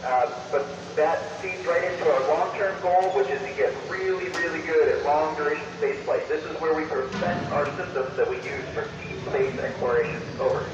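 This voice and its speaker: A man with a fairly high voice answers questions over a dull, very noisy radio transmission. He explains things in an upbeat, slightly halting way, and his voice sounds distant. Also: steady tone, reverberant.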